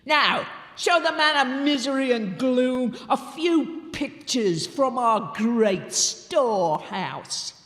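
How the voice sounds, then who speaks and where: A woman voicing a boisterous male Goblin King, slow, gruff and commanding, in a live stage reading. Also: lively intonation, a bit bright, heavily acted. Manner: booming, slow, gleeful. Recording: echoey hall, distant.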